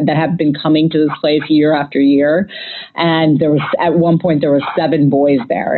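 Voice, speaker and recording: A woman from the United States with a low voice. She tells stories in a loud, chatty way, with many fillers and restarts. The sound is thin and phone-like. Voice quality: self-described loud voice. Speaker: guest describing a family trip. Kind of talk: casual conversational storytelling. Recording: tinny phone-line audio.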